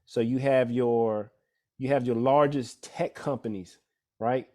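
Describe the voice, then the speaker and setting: A man's voice: mid-range voice, fairly even intonation, a little slow, mellow. A man, a financial advisor, giving a casual slide talk to an audience, often checking in with "all right?" and "right?". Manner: conversational, many fillers and "all right?" checks. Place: church event room.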